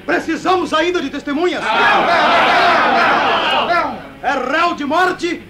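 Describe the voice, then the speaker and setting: An actor playing a stern, pompous high priest presiding over a trial in a declamatory religious radio drama. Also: male voice, normal speed, over loud music. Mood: outraged. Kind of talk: old-fashioned religious radio drama acting.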